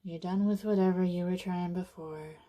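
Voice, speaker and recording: A woman leading a guided meditation in an informal, conversational way, with soothing, casual phrasing and frequent pauses. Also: on the low side, fairly even intonation, warm tone.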